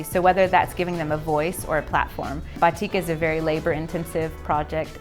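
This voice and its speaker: A woman from Texas in her thirties, a social enterprise founder, answering interview questions in a lively, earnest storytelling way with casual fillers. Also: sits low. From Texas, USA.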